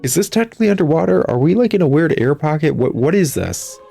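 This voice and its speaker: A man's voice: fairly high pitch, very animated, fast talker, creaky, dark tone. A man giving casual gameplay commentary in a sarcastic, exasperated, griping manner. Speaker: gamer critiquing a video game. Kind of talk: casual gameplay commentary, reading dialogue aloud. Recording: music behind the voice. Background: video game music.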